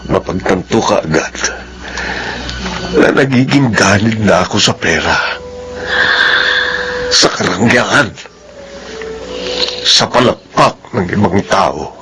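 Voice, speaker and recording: A man's voice: on the low side, animated, slow pace, pauses often, music in the background, rough recording. An actor playing a loving, remorseful middle-aged father in a drama, speaking earnestly and solemnly. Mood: remorseful, pleading. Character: remorseful, loving father of two grown children. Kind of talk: Tagalog drama acting.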